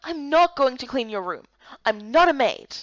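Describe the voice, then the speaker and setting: Woman playing young fantasy game heroine, incredulous, expressive, animated intonation, slightly muffled dry recording. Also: sits high, moderate pace, no room sound. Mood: indignant. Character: spirited, incredulous young woman newly joining a fantasy guard. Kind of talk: voiced visual novel game dialogue.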